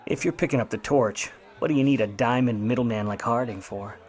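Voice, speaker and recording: An actor playing a wry, grudging, hard-boiled Hollywood private eye of the 1950s in old radio noir style, full of slangy wisecracks. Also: male voice, mid-range voice, brisk, lightly acted. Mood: sardonic, needling. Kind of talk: old-time radio noir acting with narration.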